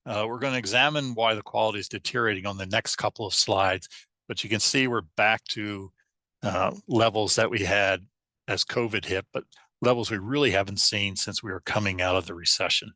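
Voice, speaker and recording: A man presenting slides in a webinar, hoarse and losing his voice, halting with restarts and fillers. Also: on the low side, pushed and bright, no echo.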